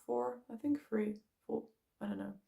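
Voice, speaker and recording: A young woman with a loud voice, talking bluntly and casually about her life, swearing now and then and filling with "like". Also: fairly high pitch, normal speed, creaky. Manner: frank, blunt, swears casually, many "like" fillers. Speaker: vlogger. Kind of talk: candid personal vlog talk.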